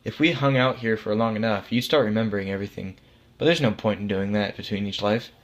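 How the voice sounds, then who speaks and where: A man's voice: on the low side, fast talker, lightly acted. A man voicing God in a story as a casual, reassuring authority figure who explains things patiently and matter-of-factly. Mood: calm, reassuring. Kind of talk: acted storybook dialogue.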